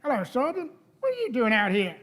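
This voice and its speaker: A man's voice: extremely high pitch, huge pitch swings, a little fast, a bit bright, heavily acted. Man playing a cheeky, chatty police constable. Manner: jokey, colloquial. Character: cheeky, chatty young male English policeman. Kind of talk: live radio play performance.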